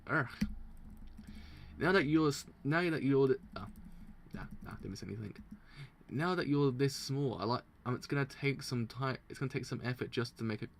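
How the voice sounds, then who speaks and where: A man streaming a live read-through of comics, stumbling over words, restarting lines, and breaking into excited laughter, his throat sounding strained. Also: mid-range voice, moderate pace, creaky, a little hiss or hum. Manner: excitable, stumbles and restarts while reading aloud, bursts into laughter. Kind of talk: live reading and reaction.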